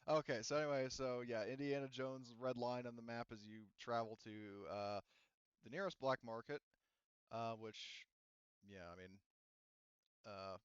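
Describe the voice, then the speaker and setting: A man's voice: mid-range voice, steady tone, a little slow, clear, resonant tone, dry recording, lo-fi sound. A man acting as game master, narrating a tabletop game in a casual, sweary, rambling and jokey way. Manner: rambling, jokey, acts out characters in quotes.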